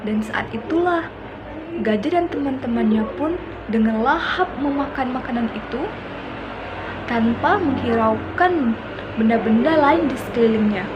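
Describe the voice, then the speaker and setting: A young woman student reading a children's fable aloud in a slow, deliberate storytelling manner. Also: fairly high pitch, expressive, highs cut off. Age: young adult. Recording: noisy background with passing traffic.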